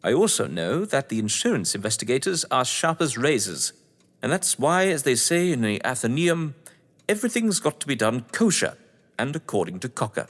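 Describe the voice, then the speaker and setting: An actor playing an urbane, cunning English crime novelist in an audio drama, pompous, condescending and wordy. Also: male voice, mid-range voice, sing-song, moderate pace. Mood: relishing, sly. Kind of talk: theatrical audio drama acting. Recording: echoey hall-like reverb.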